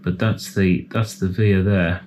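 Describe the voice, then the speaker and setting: A man's voice: sits low, fairly even intonation, unhurried, darkish tone, slight background noise. A man giving casual, hands-on repair commentary as a technician, thinking aloud in a tentative way. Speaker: electronics repair technician presenting a repair.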